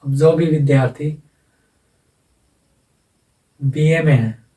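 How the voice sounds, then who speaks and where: A man's voice: mid-range voice, warm tone, recorded from a distance, poor audio. A man teaching a lesson in a casual explanatory voice-over. He explains plainly, repeats himself and asks rhetorical questions. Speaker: English teacher. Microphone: voice-over, face not shown.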